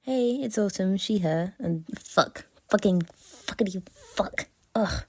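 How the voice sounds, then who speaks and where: A woman's voice: mid-range voice, expressive, slow pace, darkish tone, slightly rough. A woman giving a prepared opinion in a voice message, articulate and wordy with playful drama. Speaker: listener sending voice message.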